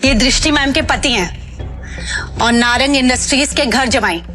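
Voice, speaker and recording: An actress playing a firm, protective office assistant in a Hindi audio drama, with a commanding manner. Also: female voice, sits high, normal speed, pushed and bright, faint music behind. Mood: indignant, protective.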